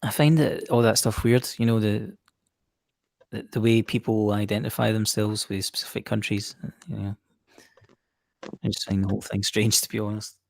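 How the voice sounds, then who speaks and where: A low-pitched man with a strongly gravelly voice muses frankly, often adding "you know". The recording is dry and close. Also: steady tone, normal speed, no room sound. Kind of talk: casual discussion.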